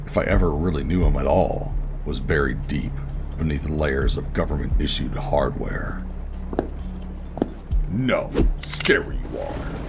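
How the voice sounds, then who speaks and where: An actor playing a bitter, defiant sci-fi operative in a noir audio drama, brooding and deliberate in delivery. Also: male voice, full character voice. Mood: angry, defiant. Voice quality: very deep, gravelly. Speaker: narrator and lead character. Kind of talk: noir first-person audio drama narration and dialogue. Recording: dramatic music behind the voice.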